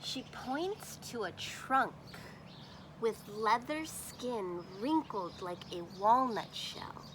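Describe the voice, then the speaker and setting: A woman teacher reading a picture book aloud to children expressively, pausing to think aloud and pose questions. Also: fairly high pitch, animated, noisy background.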